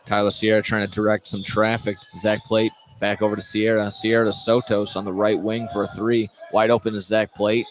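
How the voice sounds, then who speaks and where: Male play-by-play radio caller, lowish voice, stop-start delivery with repeats, faint venue noise. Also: flat delivery. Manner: halting, repeats and corrects himself mid-call. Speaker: play-by-play commentator. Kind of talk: live high school basketball radio commentary. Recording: noisy live courtside radio broadcast.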